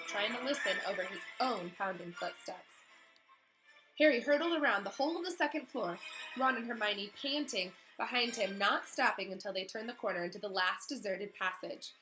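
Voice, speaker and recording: A woman teacher giving an expressive storybook read-aloud with casual asides to her pupils. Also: medium pitch, rough recording.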